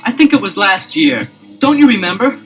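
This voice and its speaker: An actor playing an eager young amateur detective in a 1970s record-album drama, quick to get suspicious. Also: male voice, high-pitched, big pitch swings, fairly bright tone, soft background music.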